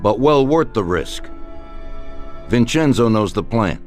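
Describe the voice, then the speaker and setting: An actor playing a measured, authoritative mob boss in a video game, ruthless but steady with his men. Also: lowish voice, faint music behind. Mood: reassuring. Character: assured male mob boss, ruthless yet reassuring. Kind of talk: video game cutscene acting.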